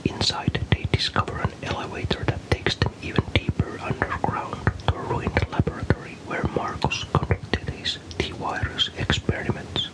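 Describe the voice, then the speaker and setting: A man from Finland narrates a scripted ASMR story in a soft, breathy whisper, calm and deliberate. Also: rough recording.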